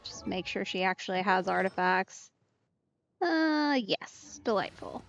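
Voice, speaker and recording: A woman's voice: medium pitch, lively intonation, measured pace, gravelly, muffled recording. A young woman doing casual let's play commentary, chatty and full of playful asides.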